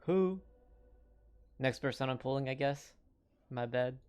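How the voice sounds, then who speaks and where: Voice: mid-range voice, a little slow, darkish tone, clean tone. A streamer giving informal, reactive game commentary in short asides. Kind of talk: casual livestream game commentary. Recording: game music behind voice.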